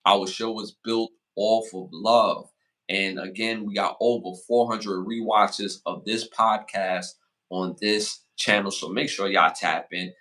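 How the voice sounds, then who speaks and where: A man in his forties hosting a casual podcast, giving motivational advice and shout-outs, repeating phrases for emphasis. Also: on the low side. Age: 40s. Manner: hypes the chat with rapid shout-outs. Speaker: podcast host.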